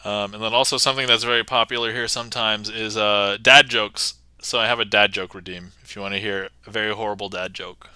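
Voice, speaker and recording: A man's voice: fairly low pitch, fairly even intonation, fairly quick, few pauses, sharp tone, smooth, dry recording. A man hosting a magic livestream, chatting casually to viewers with lots of fillers and restarts. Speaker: magician streamer.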